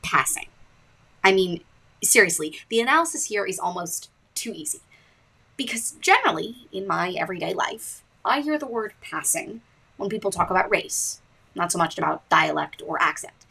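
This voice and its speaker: A woman hosting a podcast, narrating in a wry, deadpan scripted way. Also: on the low side, moderate pace, vocal fry, slightly rough, rough recording. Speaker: podcast host. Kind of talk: scripted narration with dry asides.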